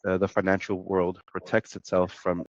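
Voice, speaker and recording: Podcast host, male, nasal from a cold, lowish voice, dry dull lo-fi audio. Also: completely flat delivery, normal speed, no room sound. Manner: conversational, some filler and restarts. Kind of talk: podcast interview.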